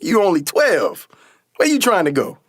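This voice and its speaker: Man acting a confident young dreamer, higher-pitched, folksy. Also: normal speed, no echo, heavily acted. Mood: teasing, coaxing. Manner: folksy, earnest, expressive storytelling. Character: confident, dreamy older brother, young aspiring pilot. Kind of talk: radio play acting, storytelling. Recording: music behind a dry voice. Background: music.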